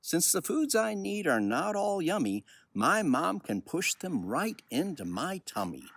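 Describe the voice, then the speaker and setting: An older man tells a personal story in a husky voice. His pitch swings widely and expressively, with the unhurried delivery of someone reading a picture book aloud to children. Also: big pitch swings, a bit bright.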